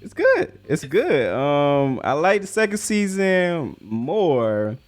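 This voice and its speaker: A man's voice: a little high, huge pitch swings, takes it slowly, soft background music. A man hosting a casual interview in a laid-back, slangy way. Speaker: interviewer, host. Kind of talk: casual chat.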